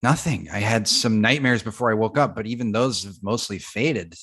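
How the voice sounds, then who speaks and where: A man's voice: on the low side, a bit bright, slightly rough. Man acting flustered, stammering cursed guy. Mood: sheepish, confused. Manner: halting, stammering, indignant. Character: flustered, defensive young man cursed to become a werewolf. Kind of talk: comedic script table read.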